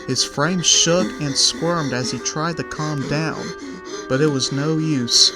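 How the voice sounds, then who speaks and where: A man's voice: fairly high pitch, takes it slowly, low-quality recording. A man narrating a scripted children's story in the third person. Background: background music.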